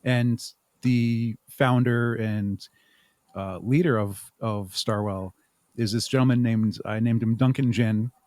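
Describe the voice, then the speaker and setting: A man's voice: mid-range voice, steady tone, takes it slowly, darkish tone, no room sound. A man speaking as a podcast guest in casual interview talk, explaining things thoughtfully. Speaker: podcast guest and audio drama creator.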